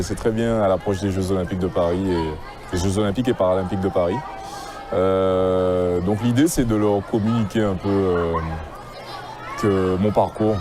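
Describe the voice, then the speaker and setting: A man from Guadeloupe answers interview questions outdoors, halting often and restarting his sentences. His voice sits fairly low. A crowd murmurs behind him. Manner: halting, many fillers and restarts. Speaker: athlete interviewed. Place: outdoors at a school.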